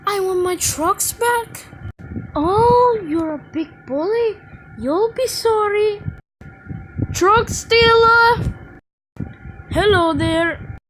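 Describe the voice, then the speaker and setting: A woman voicing a mischievous young twin tank engine, a cheeky, playful boy in an animated children's series. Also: very high-pitched, extremely slow, long pauses, a little hiss or hum, heavily acted, rough recording. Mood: indignant, excited.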